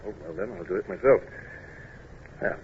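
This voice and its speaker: An actor playing a weary, proud British naval commander who is gruffly kind, with hesitant, clipped speech and throat-clearing, in old-time radio drama style. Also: male voice, low voice, expressive, normal speed. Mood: tired, kindly. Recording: muffled noisy old radio recording.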